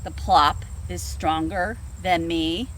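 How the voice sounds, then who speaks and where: A woman voicing a big, grumpy male brown bear who growls in a gruff, scornful way, outdoors in an orchard. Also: lowish voice, steady tone, takes it slowly, slight background noise, voice pushed down.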